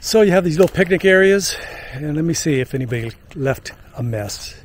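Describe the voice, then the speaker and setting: A man's voice: a little high, lively intonation, brisk. Older man, outdoor vlog host, joking and rambling, camera at arm's length, faint outdoor noise behind. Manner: jokey, rambling, with filler words. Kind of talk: casual chat to camera. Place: outdoors on a wooded lakeside trail and park. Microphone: handheld camera at arm's length.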